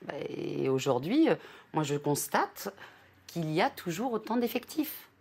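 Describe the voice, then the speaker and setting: A woman explaining her view in an interview, halting and emphatic, as a local politician. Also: sits low, very animated, gravelly, a little mellow, slightly rough. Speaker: opposition local councillor.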